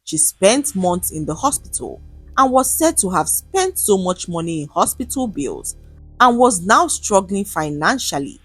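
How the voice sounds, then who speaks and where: A woman with a Nigerian accent narrating a scripted story in an expressive, dramatic manner. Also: medium pitch, a little slow, slightly rough, dry recording, soft background music.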